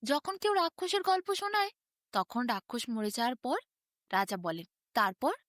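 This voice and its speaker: High-pitched, very animated woman storyteller. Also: normal speed, no room sound, studio quality. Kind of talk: expressive Bengali folk tale bedtime narration.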